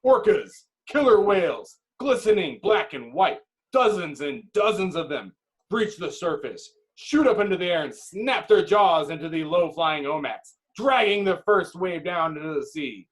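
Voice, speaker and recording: A man's voice: high voice, expressive, moderate pace, poor audio. A man reading screenplay stage directions as the dramatic narrator of a table read.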